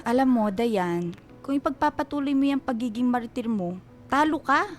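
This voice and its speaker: An actress playing a blunt, bossy and protective best friend in a radio drama. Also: female voice, fairly high pitch, lively intonation, normal speed, lightly acted. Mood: brisk, indignant. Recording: music behind the dialogue.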